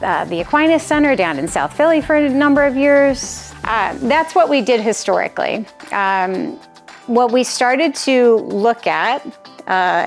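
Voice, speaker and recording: An American woman in her forties presents in an informal, conversational way. She has a mid-range voice and a self-deprecating touch, with music in the recording. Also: mid-range voice. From Massachusetts, United States. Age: 40s. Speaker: presenter, nonprofit president. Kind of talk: conversational presentation to a small group.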